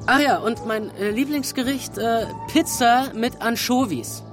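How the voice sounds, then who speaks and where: A man's voice: extremely high pitch, unhurried, over music. A young man playing a confused, sarcastic schoolboy who has woken in a virtual world, in a radio drama.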